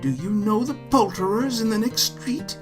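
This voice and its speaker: A man voicing an excitable, joyful old British man in a hammy, theatrical style for an audio story. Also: mid-range voice, moderate pace, over music, pitched above the performer's own voice, close to an everyday voice. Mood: excited, booming. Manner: exclamatory, hammy, laid on thick. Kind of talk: theatrical stage acting in audio fiction.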